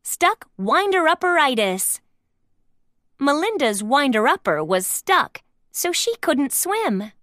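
Slow, high-voiced woman reading a storybook. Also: very animated, fairly bright tone, no echo, clean studio sound. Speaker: storybook narrator. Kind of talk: scripted read-aloud narration for young children.

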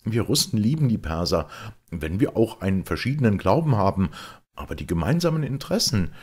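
A man's voice: fairly low pitch, big pitch swings, brisk, breathy edge, pitched above the performer's own voice. Man acting a gushing, pompous town mayor. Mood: pompous toasting. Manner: effusive, declamatory.

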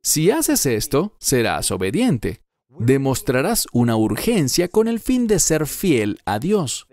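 Man voicing an earnest, animated Bible teacher. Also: mid-range voice, slow pace, full character voice. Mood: earnest, emphatic. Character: earnest male Bible teacher in his fifties. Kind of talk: dubbed sermon, verse-by-verse teaching.

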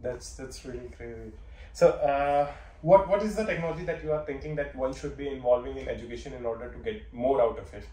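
A young man interviewing a professor, halting and repeating words as he forms his questions. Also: medium pitch, dark tone. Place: office room, indoors. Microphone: far camera mic. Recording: roomy echo from far off.

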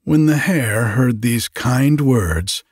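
A man narrating a bedtime story slowly and quietly, in an unhurried, hushed manner with long pauses. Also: medium pitch, expressive, breathy edge, no echo, studio quality. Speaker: podcast host reading bedtime stories.

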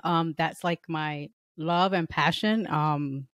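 Relaxed lower-voiced woman guest. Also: no echo, muffled recording. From New York. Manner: relaxed, unhurried, laughs easily. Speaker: podcast guest. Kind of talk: casual interview conversation. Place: home room. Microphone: studio mic on a stand, headphones, video call.

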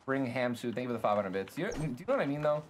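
A young man streaming a game from his room at home, talking at a middle pitch with a slightly congested sound. He chats loosely and thanks viewers, breaking into sharp outbursts when play goes wrong. A faint hiss runs under his desk microphone. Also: sing-song, rapid-fire. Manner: chatty, thanks viewers, bursts of frustration. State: a little congested. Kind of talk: casual live-stream chat while playing.

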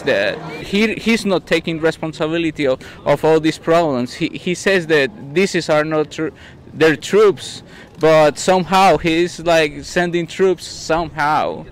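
A young man with a Colombian accent giving hesitant street interview answers, searching for words. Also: a little high. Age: young adult. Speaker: rally participant being interviewed. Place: outdoor city park at a rally. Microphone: handheld interviewer mic with windscreen.

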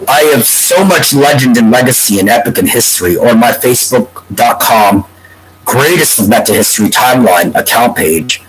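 A man in his forties with a congested, stuffy nose, rambling to a webcam from a room at home in a meandering, drawn-out way. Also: medium pitch, slight background noise, rough recording. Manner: meandering, drawn-out, breaks into humming a tune. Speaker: vlogger. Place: home room with bookshelves, indoors. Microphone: webcam at desk.

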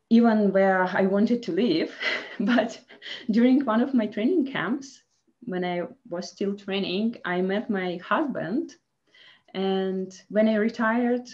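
A woman in her thirties from Russia with a Russian accent, telling her story as an interview guest in halting, casual speech with restarts. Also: medium pitch, a little mellow, muffled recording. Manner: halting, frequent restarts and laughs. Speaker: guest, former Olympic skier. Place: home office, indoors.

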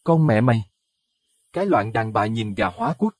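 Casting: a man doing a cruel, cursing husband, husky and contemptuous, storybook horror. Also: mid-range voice, big pitch swings, brisk, darkish tone, no room sound, studio quality, distant mic, full character voice. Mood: furious. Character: brutal foul-mouthed village husband, middle-aged man.

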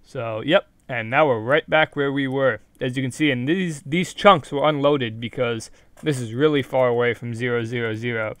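Young male tutorial vlogger, slightly hoarse, chatty and self-correcting, smooth tone, dry close mic. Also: medium pitch, normal speed, dry recording. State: hoarse from being sick. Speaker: Minecraft video creator. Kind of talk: casual screen-recorded tutorial.

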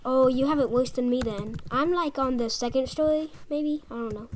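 A woman's voice: high-pitched, normal speed, a little hiss or hum. A young woman hosting a casual gameplay video, chatty and teasing, often mumbling to herself. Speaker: gaming channel host.

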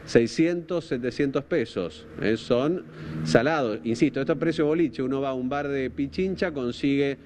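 A man in his thirties with a Rosario Argentine accent, reporting live on TV in an informal, halting way, repeating words as he thinks. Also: medium pitch, noisy background. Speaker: TV field reporter. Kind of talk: live unscripted news reporting. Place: outdoors at night by a park. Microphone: handheld microphone, through a face mask.

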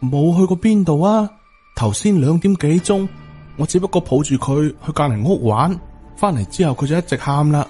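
A man's voice: a little high, animated, normal speed, dark tone, faint music behind, voice pushed up, natural voice. A man voicing a wary, easily startled young village mother in an audiobook, questioning and suspicious, with a Hong Kong Cantonese accent. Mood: worried.

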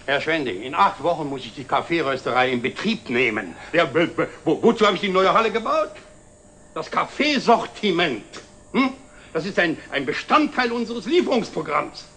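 An actor playing a genial middle-aged company director in an old television drama, prone to stammering when agitated. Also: male voice, on the high side, very animated, moderate pace, fairly bright tone. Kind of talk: 1960s German television drama acting. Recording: noisy old 1960s television soundtrack.

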